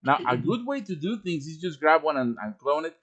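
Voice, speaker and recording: A man presenting an informal live software tutorial, explaining things step by step with casual asides. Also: on the high side, sing-song, a little fast, highs cut off. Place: live-streamed voice chat with screen share.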